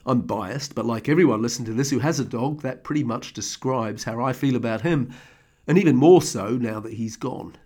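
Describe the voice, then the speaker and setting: A man with a slightly croaky voice from a throat infection, hosting his podcast from his desk at home and telling a heartfelt personal story in an earnest, conversational way. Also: mid-range voice, a little fast, darkish tone. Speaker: podcast host. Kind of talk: reflective personal monologue.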